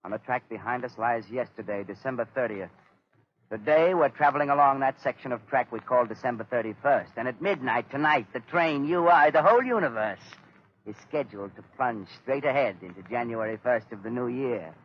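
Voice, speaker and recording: A man plays a mad, dying inventor in an old radio drama, gloating and vengeful. He speaks with grandiose, theatrical relish at a middle pitch. The recording is dull and dated, like 1930s radio. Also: a little fast, slight background noise, natural voice. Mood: intense, lecturing.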